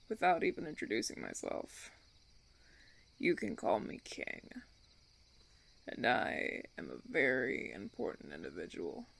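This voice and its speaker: A man playing a smug, menacing vampire recruiter in an audio roleplay, with a gravelly, creaky voice and theatrical, taunting, drawn-out phrasing. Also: mid-range voice, exaggerated voice. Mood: smug, casual. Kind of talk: ASMR audio roleplay acting.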